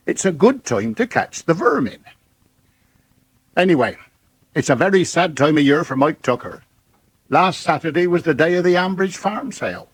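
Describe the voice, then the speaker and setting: An old countryman, husky and rustic, musing aloud. His pitch sits fairly high and swings freely as he narrates a folksy radio drama monologue. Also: no echo. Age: older. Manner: rustic, ruminative. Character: old countryman musing on nature and village life.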